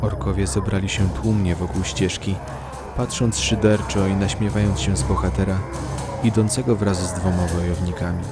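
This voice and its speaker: A man's voice: low voice, little pitch movement, moderate pace, loud music behind the voice. A man delivering scripted, dramatic narration as the storyteller of a fantasy audio drama.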